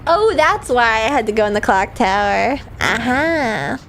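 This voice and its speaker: A woman's voice: on the high side, very animated, a little slow, fairly bright tone, a little hiss or hum. A young woman streaming a game while sick, chatting in a playful, giggly, offhand way. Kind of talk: casual gameplay chat.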